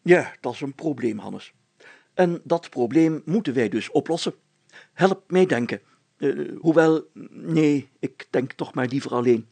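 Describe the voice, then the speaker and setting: Pompous town mayor, storybook character, bossy, self-satisfied, stammering, gravelly edge. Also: male voice, a little high, animated, unhurried, a little mellow, pitched below the performer's own voice, full character voice. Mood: pleased, pompous. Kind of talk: dramatised story reading.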